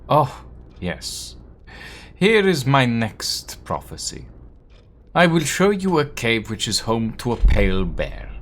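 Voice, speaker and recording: A man voicing a guarded, poetic young foreign woman in a text adventure game, with a measured, lilting delivery. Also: mid-range voice, sing-song, a bit bright, a little hiss or hum. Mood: musing.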